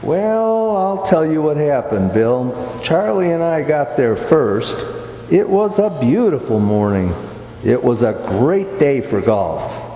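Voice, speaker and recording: A man's voice: on the high side, very animated, takes it slowly, warm tone, exaggerated voice. A man playing a polite, patient straight man in an old-time radio comedy sketch. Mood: rueful, storytelling. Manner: mild, conciliatory. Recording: echoey hall with background noise.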